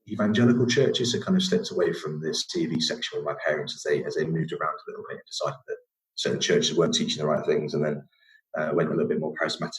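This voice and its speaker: Very deep-voiced northern English man. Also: poor audio. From England. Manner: rambling, many fillers and restarts. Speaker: podcast guest. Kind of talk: casual conversational storytelling.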